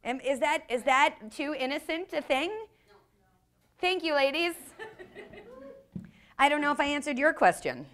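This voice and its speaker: A woman's voice: high-pitched, animated, unhurried, long pauses, fairly bright tone. A woman with an American accent, a guest improv teacher speaking bluntly and profanely in a casual podcast interview.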